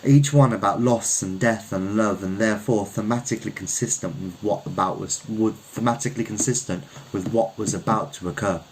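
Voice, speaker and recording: A man from Birmingham, England, reading a literary short story aloud in a measured, reflective manner. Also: fairly low pitch, a little hiss or hum, low-quality recording. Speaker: story reader.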